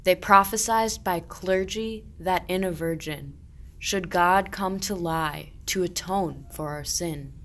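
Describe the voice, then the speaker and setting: Woman acting a low-voiced, slow, pious shepherd. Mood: earnest. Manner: declamatory rhymed verse. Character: pious, earnest medieval shepherd. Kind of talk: amateur radio play, verse mystery play.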